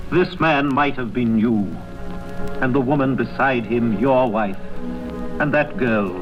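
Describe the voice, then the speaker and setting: A relentless, solemn spirit acted by a man from a vintage radio drama. The voice is medium-pitched, grave and deliberate, almost incantatory. Loud music sits behind it on a dull old recording. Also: close to an everyday voice. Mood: relentless, haunting. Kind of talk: 1930s radio drama acting.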